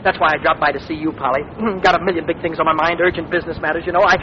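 A man's voice: on the high side, steady tone, very fast, lightly acted. An actor playing a cocky, self-important young man in 1940s radio comedy, boastful and pompous, stammering when flustered. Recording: noisy, muffled 1940s radio recording with audience.

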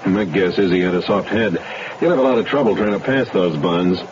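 Actor doing a deep brusque cop, dry jokes, old radio play hiss. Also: male voice, brisk, natural voice. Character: gruff male police detective, brusque, sardonic. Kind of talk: 1970s radio drama acting. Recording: dull noisy 1970s radio broadcast.

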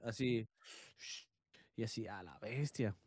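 A man's voice: fairly low pitch, slow, a little mellow, no room sound, studio quality. A man in his thirties with a Mexican accent, chatting casually as a podcast co-host, swearing and laughing as he tells stories. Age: 30s. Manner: slangy, swears a lot, laughs often. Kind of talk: casual chat, storytelling.

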